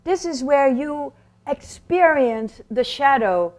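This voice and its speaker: A woman's voice: high-pitched, expressive, slow. A woman presenting puppetry in an explanatory storytelling talk, theatrical and emphatic, with rhetorical questions.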